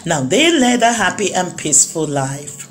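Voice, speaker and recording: A woman's voice: lowish voice, big pitch swings, moderate pace. A woman narrating a children's story expressively, drawing out words for emphasis. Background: music.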